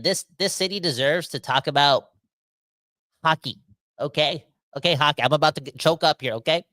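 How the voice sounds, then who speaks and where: A man's voice: on the high side, no echo. A man, hosting a podcast livestream, reading viewer comments and ranting in casual slang. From Canada. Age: 30s. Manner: animated, rambling, slangy, talks straight to the audience. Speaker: podcast host reading live chat comments.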